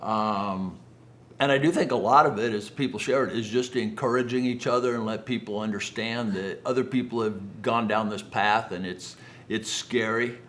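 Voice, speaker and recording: A man's voice: lowish voice, steady tone, normal speed, a bit bright. An older American man telling personal stories to an audience in a conversational way, acting out remembered dialogue. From Los Angeles area, United States. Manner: conversational, acts out quoted dialogue. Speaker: guest speaker, business founder.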